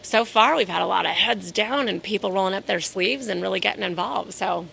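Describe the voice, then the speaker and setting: A hoarse woman speaking in an interview at a conference, talking in a measured, businesslike way and slowing down to stress her points. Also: medium pitch, a bit bright, slight background noise, rough recording. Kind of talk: casual interview. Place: at a conference in New York City.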